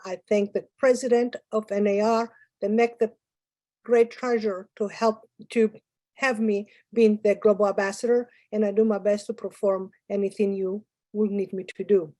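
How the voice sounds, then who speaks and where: Greek-accented woman presenter, warm dark tone, dry sound, halting delivery. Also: medium pitch, steady tone, no room sound. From Greece. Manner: hesitant, with many fillers and broken phrasing. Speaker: guest speaker. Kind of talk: conference presentation.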